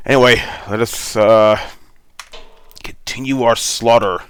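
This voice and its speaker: A man's voice: medium pitch, steady tone, measured pace, fairly bright tone, clear, resonant tone. Congested man, sore throat, rambling gameplay chat, headset mic, keyboard typing behind. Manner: rambling, laid-back, many fillers. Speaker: gaming channel host. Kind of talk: casual gameplay commentary.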